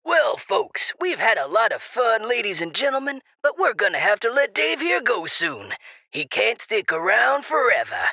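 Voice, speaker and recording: Man playing a gravelly, gleefully callous radio host. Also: high voice, wildly swooping pitch, normal speed, pushed and bright, no echo, clean studio sound, heavily acted. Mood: breezy sign-off. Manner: showy, mocking announcer patter. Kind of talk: radio DJ acting in audio drama.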